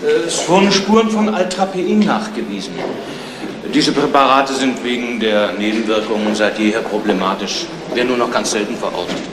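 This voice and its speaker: A male actor as a businesslike forensic doctor, explaining in a formal, factual manner. His medium-pitched voice rings in a reverberant room on a noisy old radio play recording. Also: natural voice. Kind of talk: 1970s German radio crime drama acting.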